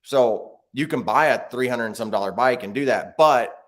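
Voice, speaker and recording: A man's voice: mid-range voice, moderate pace, fairly bright tone. A man talking casually as a guest on a video call interview, explaining at length with many restarts. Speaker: guest, bike video creator.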